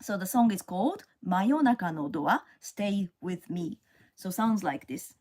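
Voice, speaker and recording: Slow-speaking Japanese woman streaming live. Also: mid-range voice, expressive, a little mellow. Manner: unhurried, stops often with fillers, greets viewers. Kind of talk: casual live-stream chat.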